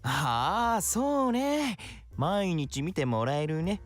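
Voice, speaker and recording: A whimsical young man in a visual novel, casual and teasing. His pitch swoops very widely, and soft music plays underneath. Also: very animated, measured pace. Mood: amused. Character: laid-back, whimsical young male anime fan. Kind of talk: Japanese visual novel voice acting.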